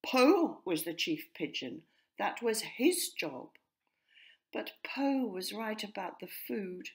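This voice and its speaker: A woman narrating a children's story as its author, expressive and clearly scripted. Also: medium pitch, slow pace. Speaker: author reading her own story.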